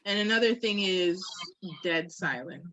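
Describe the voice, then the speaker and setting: A woman's voice: lowish voice, animated, unhurried, fairly bright tone, rough recording. A woman co-hosting a candid podcast conversation, speaking in a reflective, emphatic and informal way. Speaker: podcast co-host.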